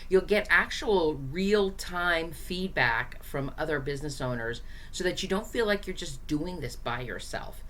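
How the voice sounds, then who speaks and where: A hoarse woman coaching small business owners in a casual, chatty teaching style, speaking directly to her viewers with frequent fillers. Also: sits low, lively intonation, unhurried, few pauses, fairly bright tone.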